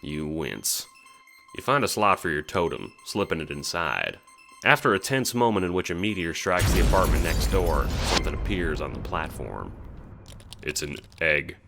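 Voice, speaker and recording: A man's voice: low-pitched, animated, moderate pace, gravelly, over music. A man reading scripted second-person narration for an audio drama.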